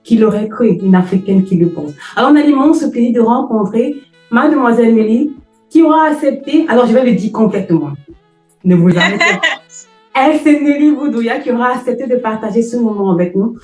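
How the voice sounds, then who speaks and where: A woman's voice: a little high, expressive, a little fast, a little mellow, echoey room, faint music behind. A woman hosting an interview, speaking with effusive emphasis and repeating phrases for effect. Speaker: host. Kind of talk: emphatic podcast introduction and interview.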